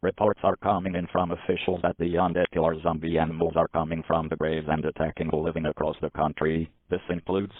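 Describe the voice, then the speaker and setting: A low-voiced man reads a formal emergency message with very little variation in pitch. He sounds impersonal and official. The sound is dry and narrow, like a telephone line. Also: level tone, fast talker, pauses often, dry recording. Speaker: emergency alert announcer. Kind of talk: scripted emergency broadcast message. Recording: phone-like narrow band audio.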